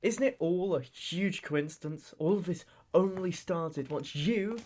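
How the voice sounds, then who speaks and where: A man voicing Robert Jr, a cautious son who is earnest and quick to accuse. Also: sits high, darkish tone. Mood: accusing. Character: Robert Jr, cautious, suspicious young adult son. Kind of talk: fan audio drama acting.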